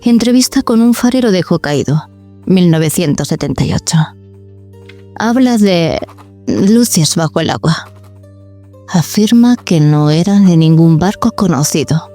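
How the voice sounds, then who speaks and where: A woman playing a solitary archivist who narrates an eerie audio drama in a measured, solemn, enigmatic way. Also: fairly low pitch, animated, warm tone, faint music behind. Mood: pensive, clinical. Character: solitary female archivist cataloguing eerie tapes. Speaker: host.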